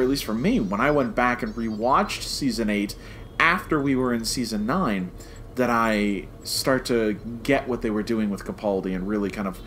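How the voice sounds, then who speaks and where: A man's voice: medium pitch, fairly bright tone, a little hiss or hum. A man co-hosting a podcast, giving his opinions in a casual, conversational review chat. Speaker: podcast co-host.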